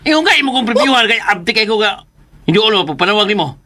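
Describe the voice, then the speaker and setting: An actor voicing an excitable, cheeky young errand boy in a radio drama, whining and exclaiming. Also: mid-range voice, quick pace. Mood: excited, wheedling. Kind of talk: Philippine radio drama acting.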